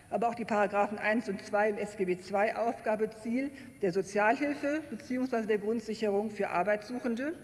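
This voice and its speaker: A woman from the Rhineland giving a measured, argumentative speech at the lectern in a parliamentary debate. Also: a little high. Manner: measured, argumentative, occasional self-deprecating asides. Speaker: member of a state parliament. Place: large plenary hall. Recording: echoing plenary hall, faint noise.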